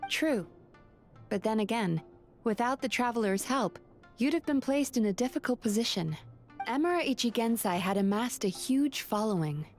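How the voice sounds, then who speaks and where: An actress playing a composed, earnest young woman therapist in an anime video game, calm, polite and reflective. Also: fairly high pitch, normal speed, faint music behind, close to an everyday voice.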